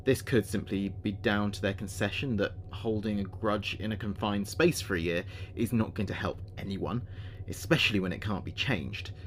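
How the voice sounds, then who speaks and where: A man playing an earnest spaceship communications officer, speaking his log in a measured, precise way for a sci-fi audio drama. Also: on the low side, slight background noise, lightly acted.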